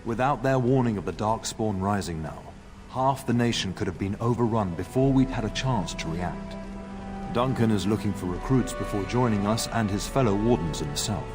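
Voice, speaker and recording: A stately, assured noble father from a fantasy game acted by a man. His voice sits somewhat low and carries fatherly authority, over faint background music. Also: expressive, normal speed, natural voice. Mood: explaining. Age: middle-aged. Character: grey-haired noble father, warm, authoritative lord. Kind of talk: scripted fantasy game dialogue.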